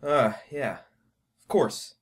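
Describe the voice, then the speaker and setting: A man's voice: medium pitch, slow pace, breathy edge. A man acting as a restless ex-military newcomer to a spaceship crew in an audio drama. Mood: restless, curious.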